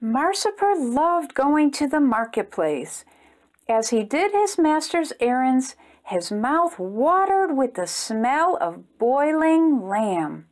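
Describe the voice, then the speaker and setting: A woman reads a children's storybook aloud. Her voice sits fairly high and moves with lots of melody. She takes her time, speaking expressively as a storytime host does for small listeners. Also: slow. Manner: expressive, simple phrasing aimed at young children.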